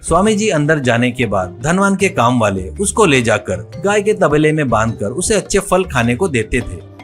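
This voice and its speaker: A man's voice: a little high, sing-song, normal speed, a bit bright. Male storyteller over music. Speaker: narrator. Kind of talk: storytelling narration of a moral tale.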